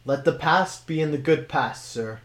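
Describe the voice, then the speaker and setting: A man's voice: on the high side, normal speed, natural voice. Man voicing a wry talking sword. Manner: formal, wry, commanding. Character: talking sword, former male blade master, wry.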